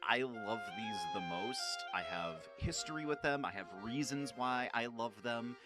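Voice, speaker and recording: A man hosting a video with casual, informal fan commentary, excitable and prone to swearing. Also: mid-range voice, a little slow, music in the background. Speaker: video host.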